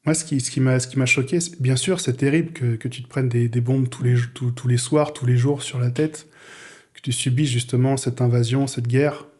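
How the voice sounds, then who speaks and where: Casting: male audio fiction creator as guest, soft mellow timbre, mid pitch, casual, searching delivery. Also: fairly even intonation. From France. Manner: halting, repeats words while searching for phrasing. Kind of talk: casual interview talk.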